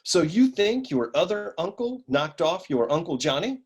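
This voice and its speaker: Husky wisecracking noir detective, acted by a man. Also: on the high side, moderate pace. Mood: wry, dismissive. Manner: deadpan, wisecracking. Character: hard-boiled wisecracking private detective in a comic noir serial. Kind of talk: parody noir radio drama acting.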